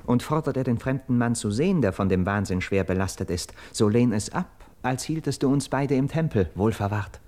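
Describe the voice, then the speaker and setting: An actor playing an urgent, persuasive young nobleman of Greek myth in a classical verse radio drama, with declamatory, measured stage diction. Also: mid-range voice, animated, a little mellow, close to an everyday voice. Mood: resolute, instructing.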